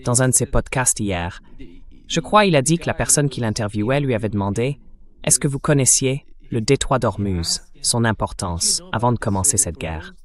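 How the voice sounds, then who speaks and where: A man's voice: mid-range voice, animated, moderate pace. A man speaking as an interviewer in a translated voice-over of a political discussion.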